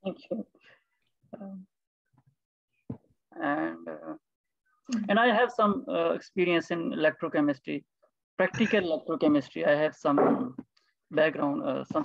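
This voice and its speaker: A man from Pakistan, a chemistry PhD candidate, introducing his research haltingly in an online meeting. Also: fairly high pitch, vocal fry, dry recording, lo-fi sound. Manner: hesitant, many restarts.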